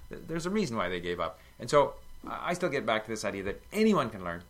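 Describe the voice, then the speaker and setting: An older man talks in a bright, forceful voice that rises and falls a lot. He gives emphatic opinions in casual conversation, full of fillers and restarts. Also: on the low side, sing-song, fast talker. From Canada. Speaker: language learning guest.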